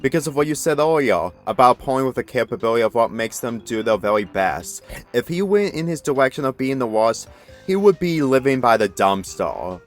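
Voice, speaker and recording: Male reader as cocky young pegasus mare, assertive storybook heroine, pitch pushed up, faint music behind. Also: sing-song, a little fast, close to an everyday voice. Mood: defensive. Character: brash, confident young female pegasus pony.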